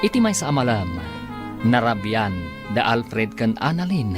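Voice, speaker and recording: A man's voice: medium pitch, sing-song, a little slow, over music. A man narrating and announcing a radio drama in a formal announcing manner.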